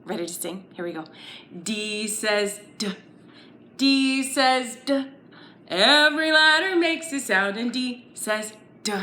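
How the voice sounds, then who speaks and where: A woman's voice: a little high, animated, takes it slowly, pushed and bright. A woman leading a children's story time lesson, playful and exaggerated, encouraging little listeners with questions and sing-along phrases.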